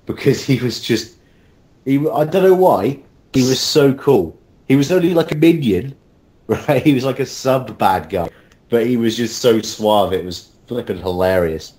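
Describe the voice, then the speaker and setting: A man chatting casually as a cast member in a group interview, halting and self-deprecating. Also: mid-range voice, animated, darkish tone. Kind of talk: casual chat.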